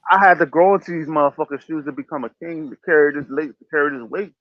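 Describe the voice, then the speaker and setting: Emphatic male host, preachy and repetitive, fairly high voice, lo-fi dry recording. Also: fast, no echo. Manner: preachy, emphatic, repeats phrases. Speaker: podcast host. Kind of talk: motivational casual talk. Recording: dull lo-fi sound.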